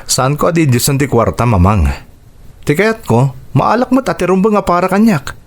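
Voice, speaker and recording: A man's voice: a little high, sing-song, normal speed. A man with a Filipino accent acting a proud, embittered doctor arguing heatedly as a grown son in a radio drama. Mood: indignant. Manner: heated, argumentative.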